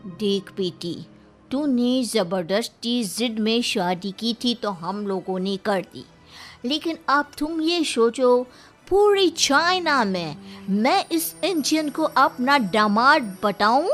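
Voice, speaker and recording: A woman's voice: a little high, lively intonation, slow, a bit bright, faint music behind, pitched below the performer's own voice. A woman voicing a stern, threatening middle-aged Chinese mother-in-law, a scolding villain in a cartoon story. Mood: scornful. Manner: scolding, menacing.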